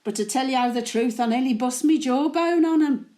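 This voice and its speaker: Woman acting a high-voiced elderly Brummie widow. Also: normal speed, mellow, natural voice. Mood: fond, gossipy. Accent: Birmingham. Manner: rambling, colloquial, confiding. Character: chatty, opinionated elderly working-class Brummie widow, tender and grumbling. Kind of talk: dramatic monologue in character, addressed to an absent husband.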